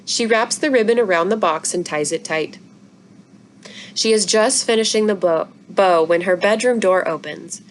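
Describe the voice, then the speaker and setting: Woman, story-time reader, expressive children's book narration, mid-pitched, slight noise behind. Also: normal speed.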